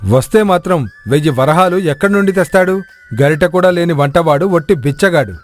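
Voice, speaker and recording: A man's voice: fairly high pitch, fairly quick, faint music behind, close to an everyday voice. A man playing a jealous, boastful village cook with a scornful, grudging manner in a moral story. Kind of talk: acted moral story dialogue.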